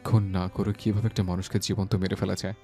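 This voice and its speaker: Guy doing a romantic young husband, lowish voice, earnest and tender, audio drama with music under it. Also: level tone, fast talker. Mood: tender pleading. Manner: earnest, soft-spoken. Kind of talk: romantic audio story acting.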